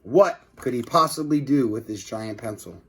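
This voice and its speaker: A man's voice: mid-range voice, expressive, moderate pace, husky. A man reading a children's book aloud like a teacher, with casual asides to his listeners.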